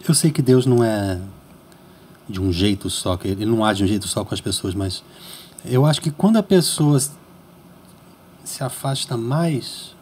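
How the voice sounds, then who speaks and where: A man's voice: mid-range voice, lively intonation, moderate pace, pauses often, a little mellow. Curious podcast host man, faint hiss. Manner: curious, interjecting questions. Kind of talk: casual interview chat. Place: small podcast studio, indoors. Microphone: studio mic on boom arm.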